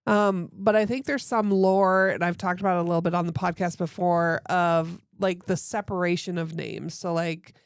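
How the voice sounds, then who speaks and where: A woman hosting a podcast asks curious questions in a smooth, resonant voice. She pitches a little low and hedges with fillers as she goes. The sound is close and dry. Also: steady tone, normal speed, hardly any pauses, dry recording. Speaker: podcast host. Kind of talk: casual podcast conversation.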